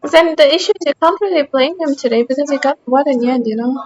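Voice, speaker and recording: A woman's voice: high-pitched, fairly even intonation, quick pace, highs cut off. A woman from Austria with an Austrian German accent and German as her first language, chatting casually with her stream chat while she plays, self-deprecating.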